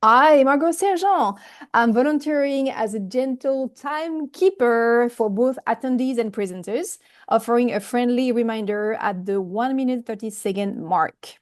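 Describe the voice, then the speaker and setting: A woman with a fairly high voice and a strong French accent speaks on an online call. She is careful with her English and now and then halts over a word. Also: moderate pace. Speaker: volunteer timekeeper at a group meeting. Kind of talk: reading a prepared announcement aloud.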